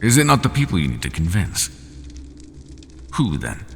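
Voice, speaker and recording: A resigned, half-human horned monster from an audio roleplay speaks in an extremely deep, gravelly voice. His lines are formal and unhurried, with no contractions, and his pitch swings widely. The voice rings out in a long hall reverb over faint ambient noise. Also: wildly swooping pitch, a bit bright, exaggerated voice. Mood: solemn, weary. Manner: slow, formal speech without contractions.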